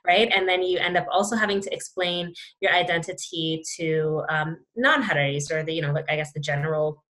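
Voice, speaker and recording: A young woman, speaking as an interview guest in a thoughtful, reflective way with many pauses and restarts. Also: deep voice, a bit bright. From Canada. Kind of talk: reflective conversation.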